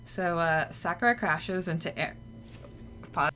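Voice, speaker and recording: A woman co-hosting a podcast, talking through a story in casual, filler-laced chat. Her voice sits a bit low for a woman. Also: unhurried, fairly bright tone, slight background noise. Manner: chatty, recaps with many "like" fillers. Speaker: podcast co-host.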